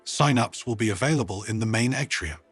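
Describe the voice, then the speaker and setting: A man's voice: on the low side, brisk, fairly bright tone, husky, faint music behind. A man voicing a formal, official alien convention announcer in a sci-fi story.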